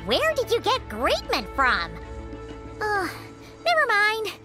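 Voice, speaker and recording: A tiny female fairy sidekick chirps in an extremely high, very animated childlike voice. She is candid and friendly, in game dialogue over clear background music. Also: slow pace, exaggerated voice. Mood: chirpy, candid. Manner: exclamatory, childlike, explains things simply. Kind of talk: scripted video game dialogue.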